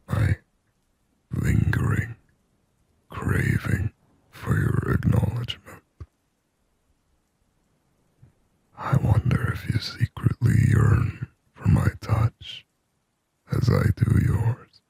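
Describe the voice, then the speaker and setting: A man voicing a shy, possessive sleep paralysis demon in an ASMR roleplay, in a halting, intimate, breathy whisper. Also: huge pitch swings, no room sound, full character voice. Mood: longing, possessive. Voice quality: breathy, husky whisper with low gravelly creak. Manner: slow, halting, hushed, intimate.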